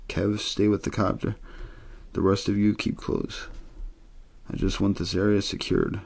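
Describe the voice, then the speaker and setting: A man voicing a businesslike, commanding police team captain in a storybook reading, gravelly and firm as he gives orders. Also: on the low side, monotone, unhurried, darkish tone.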